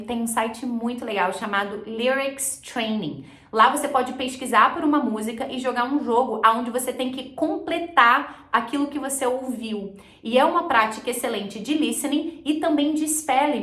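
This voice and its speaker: A woman's voice: a little high, fairly bright tone, echoey room. A Brazilian woman giving a conversational, explanatory language lesson, mixing English terms into her speech as she instructs. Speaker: English teacher and video host.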